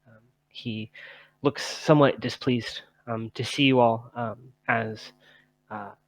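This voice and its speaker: A man narrating a tabletop roleplaying game as game master, halting and deliberate. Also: mid-range voice, steady tone, rough recording.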